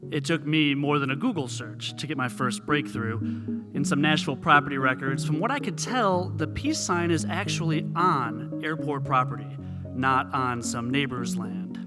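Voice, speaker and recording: A man's voice: on the high side, moderate pace. A man narrating a scripted radio story live on stage as a reporter. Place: theater stage. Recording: live theater with music behind.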